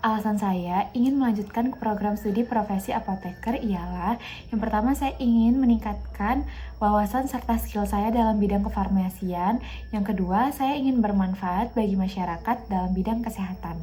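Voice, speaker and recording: A woman pharmacy student from Lampung gives a measured, prepared answer point by point. Her voice is mellow, with faint noise behind it. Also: medium pitch.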